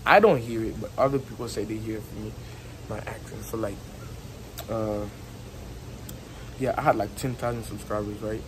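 A man's voice: fairly low pitch, normal speed, long pauses. A young man with a strong Haitian accent, chatting casually in a rambling, repetitive way, filming himself outdoors on a phone held at arm's length. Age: young adult. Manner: rambling, repetitive, filler-heavy talk with laughs. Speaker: YouTuber. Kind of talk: casual vlog chat. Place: outdoors on a patio by a house wall. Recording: phone recording with background noise.

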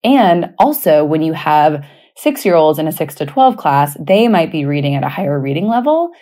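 A woman's voice: low voice, very animated, normal speed, warm tone. A woman hosting a podcast as a teacher, talking casually and conversationally with informal personal anecdotes. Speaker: podcast host and elementary teacher. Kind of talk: casual spoken podcast talk.

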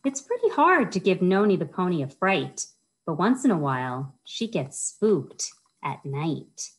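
Slow, low-voiced American woman at storytime. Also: sing-song, breathy edge. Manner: simple, child-directed talk with questions to listeners. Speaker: children's librarian, storytime host. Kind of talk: children's storytime read-aloud with chatty asides. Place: indoors, small wood-panelled room. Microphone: webcam or laptop at arm's length.

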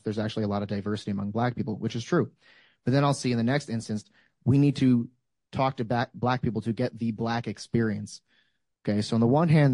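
A man in his thirties talks through an argument on a panel, holding a handheld mic in a lecture room. His voice sits at a middle pitch. The sound is close and dry. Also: a little mellow, dry recording. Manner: thoughtful, builds arguments with examples. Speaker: panel speaker. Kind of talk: panel discussion. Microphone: handheld microphone.

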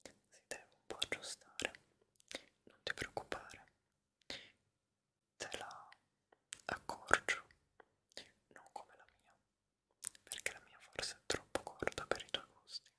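A man whispers soothingly and breathily in an ASMR barber roleplay, reassuring and softly repeating words, close to the microphone. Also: takes it slowly, long pauses. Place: small dim room indoors. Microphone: close studio microphone in front of him.

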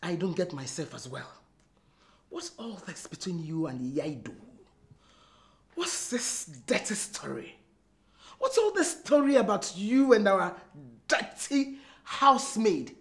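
A woman's voice: low voice, expressive, measured pace, long pauses. A woman with a Nigerian accent playing an indignant, jealous wife in a comedy film, confronting with repeated rhetorical questions. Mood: cold indignation. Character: indignant, jealous wife scolding her cheating husband. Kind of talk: Nollywood comedy film acting.

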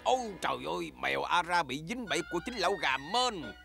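A man's voice: on the high side, very animated, quick pace, creaky, full character voice. A man playing a wise, paternal elderly gnome leader who admonishes his villagers in a cartoon dub. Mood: stern. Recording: music behind the voice.

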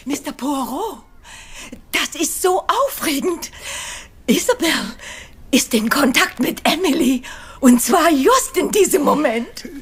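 A woman's voice: high voice, animated, slow pace. A woman playing a gushing, eccentric old lady who is keen on séances, in a radio mystery. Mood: excited. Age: older.

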